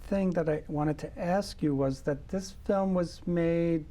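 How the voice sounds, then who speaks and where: A man's voice: a little high, a little slow, mellow. A man hosting a film festival interview in a studio, asking conversational questions with some hesitation. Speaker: festival director and interview host.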